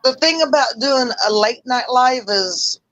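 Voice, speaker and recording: A woman chatting casually on a livestream call, talking loudly and rambling. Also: high-pitched, unhurried, no room sound, poor audio. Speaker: livestream guest.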